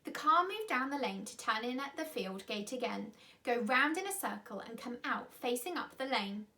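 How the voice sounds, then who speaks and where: A woman's voice: on the high side, expressive, normal speed, bright, forceful tone. A woman reading a children's story aloud expressively, with dramatic emphasis. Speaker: storyteller, school reader.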